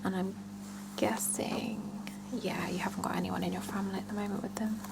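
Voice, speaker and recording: A woman doing an ASMR salon roleplay, talking gently and reassuringly to a client in a breathy whisper mixed with soft voicing. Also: mid-range voice, level tone, very noisy. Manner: slow, gentle, reassuring, with murmured pauses. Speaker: ASMR roleplayer playing a salon scalp-check attendant. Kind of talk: ASMR roleplay, one-sided chat with a client.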